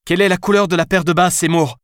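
A man's voice: sits high, steady tone, fast talker, no room sound, clean studio sound, pitched above the performer's own voice, close to an everyday voice. A man reading aloud, voicing an urgent, commanding young female police officer. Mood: alarmed. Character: tense young female French cop.

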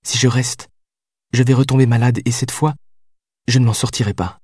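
A man's voice: mid-range voice, steady tone, moderate pace, husky, no room sound, studio quality, lightly acted. A man reading an audiobook voices a passionate, heartbroken young woman who pleads and cries out. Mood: resigned, gentle. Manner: pleading, then shouting through tears. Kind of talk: audiobook character voice.

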